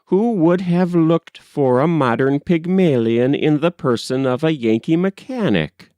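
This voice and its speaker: A man voicing an earnest, admiring and refined gentleman artist from a storybook, speaking formally and emphatically. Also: on the high side, expressive, a little slow, no echo, voice pushed up. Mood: admiring wonder. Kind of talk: storybook character reading.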